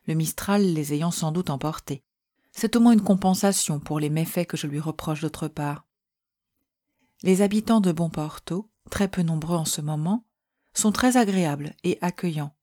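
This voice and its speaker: Woman, letter reading, measured and gentle, low-ish voice, warm mellow tone. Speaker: reader. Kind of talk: reading historical letters aloud.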